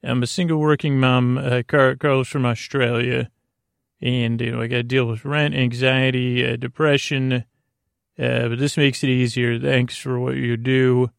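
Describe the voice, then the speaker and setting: A man with a soothing, gravelly voice hosting a bedtime podcast in a meandering, halting, self-deprecating mumble. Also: mid-range voice, level tone, no room sound, clean studio sound. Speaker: podcast host. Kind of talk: rambling bedtime sleep podcast monologue.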